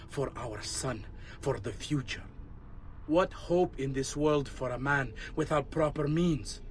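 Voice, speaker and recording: An actor playing a remorseful traitor in a video game, confessing in a letter to his love with grave, deliberate delivery. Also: mid-range voice, natural voice. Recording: clear background ambient noise.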